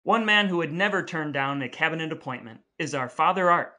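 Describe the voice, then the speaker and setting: A man's voice: fairly high pitch, expressive, a little fast, sharp tone. A man co-hosting a podcast with casual, comedic talk. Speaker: podcast co-host.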